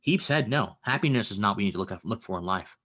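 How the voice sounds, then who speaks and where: A man's voice: lowish voice, expressive, fast talker, fairly bright tone. A man of twenty, a guest in a casual conversation, talking earnestly and philosophically with stammered restarts.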